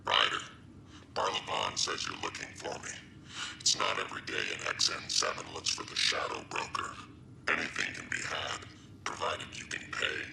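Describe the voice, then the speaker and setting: An extremely deep, gravel-crusted alien crime broker in a video game. The growl has a very sharp, forceful edge, and every line sounds like a threat wrapped in a business deal. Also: male voice, big pitch swings, normal speed, slight background noise, exaggerated voice, low-quality recording. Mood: menacing, businesslike. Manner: transactional, threatening.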